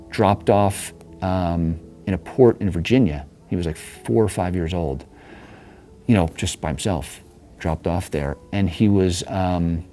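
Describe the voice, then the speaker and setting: A deep, mellow man's voice tells neighborhood history in an easy, rambling way. He often restarts his sentences and chuckles now and then. Soft music plays faintly behind him. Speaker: interviewee. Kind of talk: casual storytelling interview.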